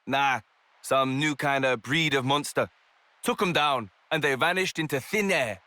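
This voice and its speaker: An actor playing a brash, energetic young fighter in a video game, casual and protective in manner. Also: male voice, mid-range voice, measured pace, dry recording. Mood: casual, reassuring. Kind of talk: video game cutscene acting.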